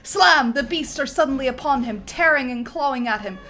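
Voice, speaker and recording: A woman's voice: high-pitched, lively intonation, fairly quick, pushed and bright. A woman narrating a film script theatrically, with a comedic dramatic flair. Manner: theatrical, breaks easily into giggles.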